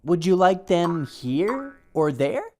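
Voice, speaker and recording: A woman's voice: lowish voice, sing-song, takes it slowly, darkish tone. A woman voicing a cheerful, pushy little storybook character who keeps coaxing with sing-song questions. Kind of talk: storybook reading.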